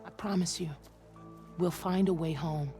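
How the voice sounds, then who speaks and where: A man's voice: on the high side, steady tone, a little slow, mellow, natural voice. An actor playing a loyal, steadfast navigator in a video game, a man speaking formally and earnestly. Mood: resolute. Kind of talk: video game cutscene acting. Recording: music behind the dialogue.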